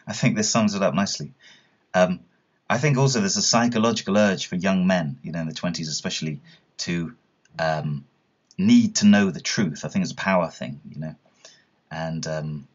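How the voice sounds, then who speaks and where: Man, thirties, very low voice, conversational interviewee, thoughtful explaining, dull recording. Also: a little fast, husky. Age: 30s. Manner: reflective, explanatory. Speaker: interviewee, philosopher.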